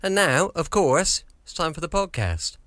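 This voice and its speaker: A man's voice: fairly high pitch, sing-song, moderate pace, pushed and bright, no room sound, studio quality. A British man hosting a podcast, chatting casually in a folksy, rambling way that repeats little phrases. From United Kingdom. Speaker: podcast host.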